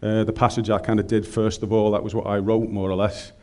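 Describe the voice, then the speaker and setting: A man's voice: fairly low pitch, little pitch movement, brisk, a little mellow. A man with a Scouse accent preaching a sermon in a chatty, joking way, speaking into a microphone in a large room. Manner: humorous, conversational, colloquial.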